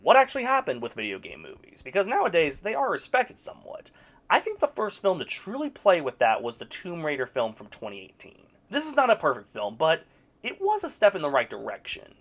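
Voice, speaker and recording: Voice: medium pitch, huge pitch swings, fairly quick, fairly bright tone. A movie reviewer giving a casual, opinionated critique, sarcastic and blunt, with occasional swearing.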